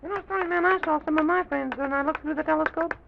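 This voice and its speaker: Tiny high-pitched childlike radio character. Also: male voice, quick pace, creaky, exaggerated voice. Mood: curious, delighted. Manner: exclaiming, wondering, repeating phrases. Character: President Pip, small excitable childlike character, curious and eager. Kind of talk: old-time children's radio serial acting. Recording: dull vintage radio recording with music.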